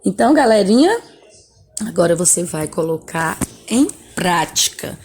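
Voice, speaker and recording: Warm, husky woman teaching a lesson. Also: lowish voice, very animated, a little slow. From Bahia, Brazil. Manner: chatty, friendly, often tags "né?" and speaks to pupils directly. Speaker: schoolteacher. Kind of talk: remote classroom lesson read from a textbook.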